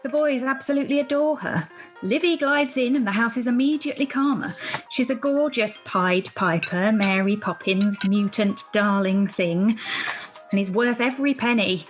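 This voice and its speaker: Genteel, effusive middle-aged woman, highish voice played by actress. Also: normal speed, soft background music, natural voice. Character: well-to-do, gushing, genteel mother of four. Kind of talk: amateur radio play acting. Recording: home recording over video call.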